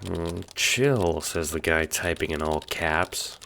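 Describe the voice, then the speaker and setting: A man's voice: low-pitched, takes it slowly, creaky, a bit bright, a little hiss or hum, full character voice. A man playing an exasperated, sarcastic young gamer in an audio drama, with an emphatic delivery. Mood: sarcastic, surprised.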